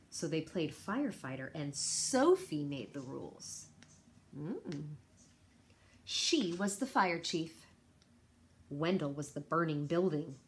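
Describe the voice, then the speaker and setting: A woman teacher reading a picture book aloud to children, expressive, with chatty asides to her young listeners. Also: deep voice, a little hiss or hum.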